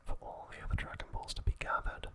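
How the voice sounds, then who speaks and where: A man narrating a scripted ASMR video in a breathy whisper, with deliberate phrasing in short pieces. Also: sharp tone. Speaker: host of an ASMR channel.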